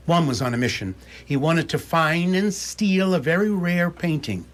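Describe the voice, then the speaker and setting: A man announcing for a radio theatre, giving a scripted recap with playful dramatic pauses. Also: fairly high pitch, slightly rough.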